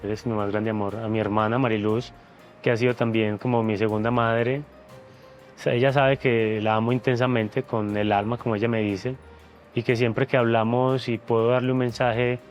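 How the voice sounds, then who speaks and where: A man's voice: medium pitch, level tone, normal speed, soft background music. A man, speaking in an emotional interview in an earnest, reflective way and repeating words as he searches for them. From Venezuela, raised in Colombia. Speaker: interview guest, former reality show contestant.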